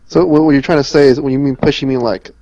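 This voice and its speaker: A man's voice: medium pitch, fairly even intonation, fast, gravelly, muffled recording, over a noisy crowd. A man in a casual gaming discussion, inquisitive and checking what others mean.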